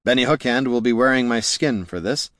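A man's voice: mid-range voice, a little fast, fairly bright tone, dry recording, clean studio sound, close to an everyday voice. A man voicing a dramatic bard who is plaintive, self-pitying and theatrically aggrieved. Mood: resigned dismay.

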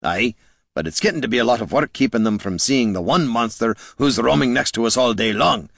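A gruff fantasy warrior performed by a male narrator. He is wry and grudging, with old-fashioned wording and an animated rise and fall for a storybook. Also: medium pitch, fairly quick, no echo, voice pushed up. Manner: gruff, dry, archaic "aye" and "ye".

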